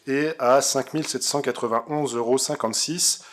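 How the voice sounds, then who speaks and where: A man giving a formal, semi-improvised council report in a halting, hesitant way. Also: medium pitch, fairly even intonation. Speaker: city councillor.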